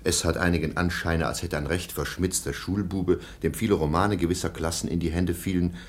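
An actor playing a stern, sceptical middle-aged German baron in a radio play, dry, formal and sardonic. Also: male voice, sits low, fairly even intonation, fairly quick, lightly acted. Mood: dry, measured.

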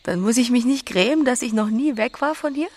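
A woman acting a blunt, earthy, solitary farmer in a naturalistic radio drama, with a husky voice. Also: on the high side, lively intonation, brisk, a little mellow. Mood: matter-of-fact.

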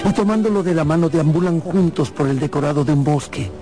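A man's voice: on the high side, quick pace. A man narrating a radio drama from a script in a theatrical storytelling manner. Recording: loud music behind the narration. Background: loud music.

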